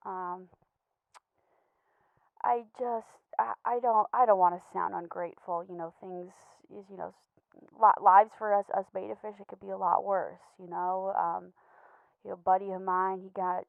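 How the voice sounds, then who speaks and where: A woman's voice: lowish voice, creaky, no echo, phone-quality sound. Woman as nervous rambling little pet fish leaving a comedy voicemail, stammers, apologetic, thin phone audio, no room sound. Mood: hesitant, lost. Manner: hesitant, stammering, halting, apologetic. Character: anxious, rambling, earnest male pet betta fish, gently judgmental. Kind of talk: scripted comedy monologue as a voicemail.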